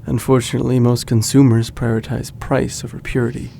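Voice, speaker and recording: An actor playing a calm, reassuring young man in a naturalistic audio drama, easygoing and measured. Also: medium pitch, vocal fry, a little hiss or hum, lightly acted. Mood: measured, persuasive. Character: laid-back, reassuring young man, loyal childhood friend.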